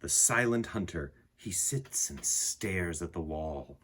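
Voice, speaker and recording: A man reading a picture book aloud to children, gently addressing his young listeners with a husky voice. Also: deep voice, expressive, takes it slowly, a little hiss or hum. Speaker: storyteller, picture book reader.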